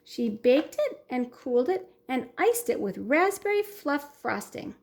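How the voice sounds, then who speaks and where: A woman reads a picture book aloud for children, slowly and clearly. Her voice sits fairly high and swoops up and down a lot to keep young listeners hooked. She acts as host of a story time show. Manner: warm, expressive storytelling for kids.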